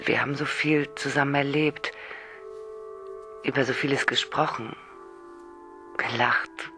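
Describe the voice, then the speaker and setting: An actress playing a mysterious woman in a radio crime play, speaking softly and intimately, then turning taunting. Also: extremely low pitch, steady tone, a little slow, music in the background. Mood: tender.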